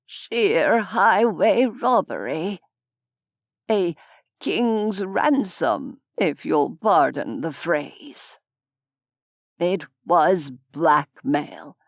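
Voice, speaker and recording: A woman voicing a weary, wry old male medieval nobleman in an audiobook, speaking in a slow, measured, self-mocking way. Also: medium pitch, dry recording, studio quality. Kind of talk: audiobook character voice.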